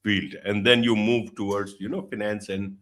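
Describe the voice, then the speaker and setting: A man's voice: on the low side, flat delivery, normal speed, a bit bright. A man hosting an online business show, welcoming his guest formally and asking emphatic questions. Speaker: host. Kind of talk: show host interviewing.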